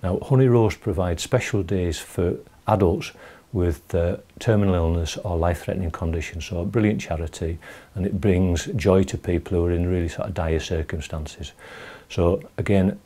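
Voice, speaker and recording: Low, mellow man. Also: fairly even intonation, normal speed. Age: middle-aged. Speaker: video maker presenting a newsletter. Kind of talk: informal talk to camera about recent projects.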